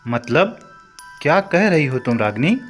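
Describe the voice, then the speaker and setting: A man's voice: on the high side, very animated, a little slow, soft background music. A man playing a hesitant, heartbroken former lover in an audio story, speaking earnestly. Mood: concerned, sorry. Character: Vishal, lovelorn, hesitant divorced man nursing old heartbreak. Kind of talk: acted audio story dialogue.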